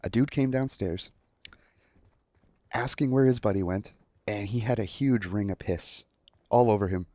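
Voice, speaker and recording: A man's voice: lowish voice, a little slow, long pauses, darkish tone, no room sound. A young adult man telling a story in a casual commentary style, acting out quotes as he goes. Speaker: online storyteller.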